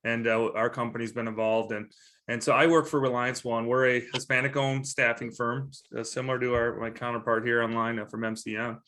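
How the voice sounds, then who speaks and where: A man's voice: medium pitch, little pitch movement, fairly quick, sharp tone. Friendly guy on a video call, mid voice, rambles a bit, jokes about himself. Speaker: staffing firm panelist. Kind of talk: video call self-introduction.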